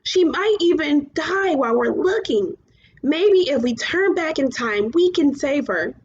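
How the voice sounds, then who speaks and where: A woman voicing a gentle, earnest male luck dragon in a storybook, coaxing his companion. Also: high voice, lively intonation, measured pace, pitched above the performer's own voice. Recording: muffled, clearly noisy home recording.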